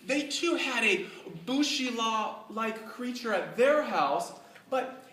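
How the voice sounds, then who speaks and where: A middle-aged speaker telling a tall tale to a live audience in a deliberate, mock-scholarly way with dramatic pauses. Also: mid-range voice, expressive, sharp tone, recorded from a distance. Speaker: speaker giving a humorous club speech. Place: indoor room with live audience. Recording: echoey room from afar, faint noise.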